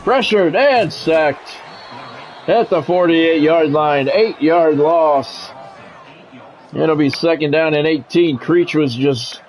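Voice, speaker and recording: A man's voice: a little high, slow, slight background noise. A middle-aged man giving live play-by-play of a football video game, mixed with offhand, conversational chat and casual swearing.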